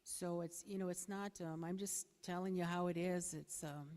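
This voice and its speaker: A middle-aged woman with a soft voice giving a hesitant, plain-spoken, unscripted answer as a candidate at a public forum. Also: lowish voice, steady tone, moderate pace. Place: bingo hall, public forum over a PA. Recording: echoey hall with long reverb.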